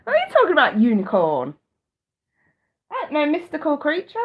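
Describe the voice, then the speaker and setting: A woman's voice: sits high, huge pitch swings, brisk, a little hiss or hum. Chatty woman with a blocked nose. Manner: chatty, warm to viewers, swears casually. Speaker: live-stream host. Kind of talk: casual live-stream chat.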